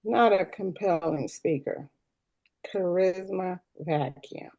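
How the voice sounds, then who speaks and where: A woman's voice: lowish voice, takes it slowly, dark tone. A woman playing a self-important, petty school principal with a breezy, tactless manner in a sitcom table read. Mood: disdainful. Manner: breezy, pompous, mock-reasonable.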